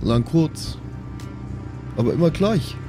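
An easygoing young stoner played by a man in an improvised audio drama, with a fairly high voice. He gropes for words in slangy, slightly exasperated bursts. Music plays clearly behind him. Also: lively intonation. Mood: puzzled, insistent. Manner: colloquial, halting, groping for words.

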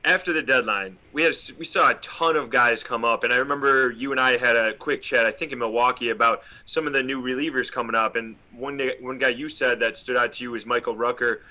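A man with a mid-pitched, strikingly bright and forward voice co-hosts a sports podcast. He puts his questions to a guest in casual, conversational interview style. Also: fairly even intonation, a little fast, hardly any pauses, poor audio. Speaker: podcast co-host asking questions.

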